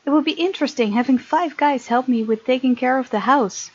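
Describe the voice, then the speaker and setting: A woman hosting a gaming video, reading visual novel text aloud and chatting casually in an expressive way. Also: high-pitched, brisk, no echo, highs cut off.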